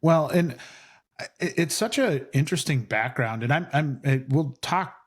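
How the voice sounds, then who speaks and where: A man's voice: fairly high pitch, expressive, unhurried, breathy edge. A man hosting a podcast, asking a guest questions in a casual, friendly way. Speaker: podcast host.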